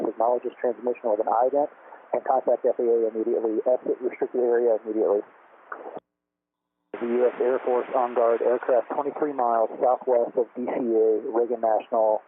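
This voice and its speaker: Man on a tinny, static-laced military radio. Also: medium pitch. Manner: formal, procedural, repetitive. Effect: aviation radio transmission. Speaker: military air defense radio controller. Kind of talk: scripted radio warning transmission, clipped aviation phraseology. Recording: tinny, noisy aircraft radio channel.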